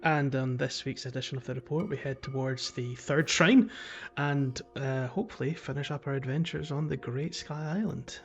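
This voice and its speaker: A man's voice: medium pitch, fairly even intonation, normal speed, muffled recording, soft background music. A man co-hosting a casual video game podcast, talking in a chatty, rambling way and often correcting himself. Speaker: podcast co-host.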